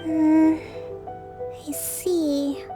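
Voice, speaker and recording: A woman playing a gentle, composed young island leader in a video game, speaking politely and with measured calm. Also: high-pitched, over music. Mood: wistful. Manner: polite, measured, soft-spoken.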